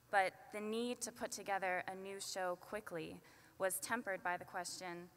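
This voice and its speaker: A young woman in her twenties giving a commencement speech at a podium, earnest and measured with light self-deprecating asides. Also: fairly low pitch, a bit bright. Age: 20s. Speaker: student commencement speaker. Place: large arena at a podium. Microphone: podium microphone. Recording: long arena reverb.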